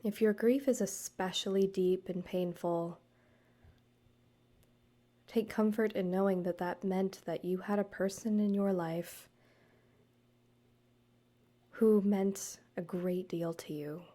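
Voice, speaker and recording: A woman guiding a meditation, speaking to the listener in a soothing, measured way with deliberate pauses. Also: medium pitch, steady tone, dark tone. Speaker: guided meditation host, counselor and yoga teacher. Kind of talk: gentle guided meditation narration, addressed to the listener.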